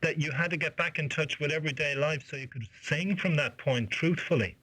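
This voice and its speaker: Irish guy doing a phone interview, bit higher voice, music journalist chatting. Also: fairly quick, bright, forceful tone, rough recording. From Ireland. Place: on a phone call.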